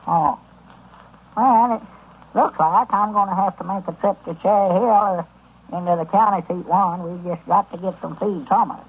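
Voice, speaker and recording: An excitable old Southern storekeeper in a radio comedy. His voice is very high and husky, and he hems and stammers in a folksy rural drawl. It comes through a dull old 1930s recording. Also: moderate pace. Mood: fretful. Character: excitable old country storekeeper turned would-be circus manager.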